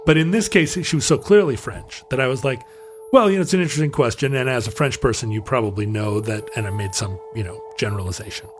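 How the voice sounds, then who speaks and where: Man, podcast co-host, mid-pitched, meandering anecdotal delivery, soft music bed. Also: fast. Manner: rambling, self-correcting anecdotes. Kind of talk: casual chat, storytelling.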